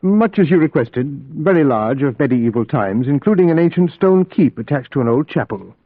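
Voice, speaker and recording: A man's voice: fairly high pitch, animated, normal speed, dry recording. A man playing a polite, uneasy Englishman who speaks and narrates with precise diction in an old-time radio play. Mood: politely uneasy. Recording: dull vintage radio recording, music behind.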